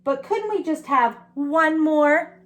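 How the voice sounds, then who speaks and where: Lady doing a sweet little blue girl owl, high voice, dreamy and keen, storybook. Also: slow. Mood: dreamy, sheepish.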